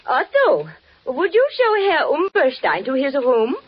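An actress playing an obliging, attentive German lodging-house owner in a 1940s radio drama, polite and a little hesitant. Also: female voice, sits high, huge pitch swings, unhurried, soft background music, heavily acted. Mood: welcoming, businesslike. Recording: dull, faintly noisy 1940s radio recording.

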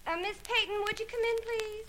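An actress playing an eager, deferential office secretary in a 1940s radio drama, brisk and obliging. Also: female voice, very high-pitched, fairly even intonation. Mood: obliging, chirpy. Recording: very noisy vintage radio recording, clicks.

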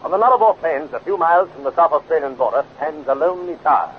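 A man's voice: a little high, animated, fast talker, natural voice. An actor performing as the dramatic, declamatory male narrator of a 1950s children's space adventure radio serial. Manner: declamatory, urgent. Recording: tinny, noisy 1950s radio recording.

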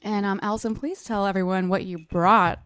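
A woman's voice: medium pitch, steady tone, brisk, fairly bright tone, clean tone, no echo. An American woman co-hosting a podcast in casual conversation about books. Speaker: podcast co-host. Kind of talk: casual book chat.

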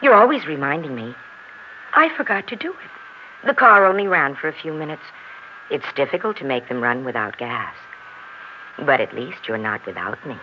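An actress playing a coolly triumphant, mocking American wife in a 1960s radio drama, full of bitter irony. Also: female voice, very deep voice, sing-song, normal speed. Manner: mocking, self-deprecating irony. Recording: dull, hissy 1960s radio recording.

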